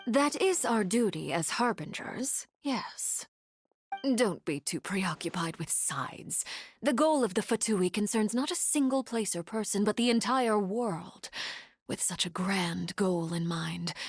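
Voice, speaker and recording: Cool, commanding game villainess. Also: female voice, medium pitch, lively intonation, breathy edge, dry recording. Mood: cool, enigmatic. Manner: measured, formal, cool, softly intimidating. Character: commanding, enigmatic female harbinger, called Father by her wards. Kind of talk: dramatic video game voice acting.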